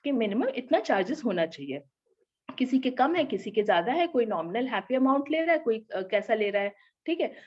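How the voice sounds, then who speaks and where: A woman teaching an online class with a slightly hoarse throat, explaining step by step and often checking that her students follow. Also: a little high, big pitch swings, a little fast, muffled recording. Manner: explanatory, often asks "ठीक है?" to check understanding. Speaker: Reiki teacher.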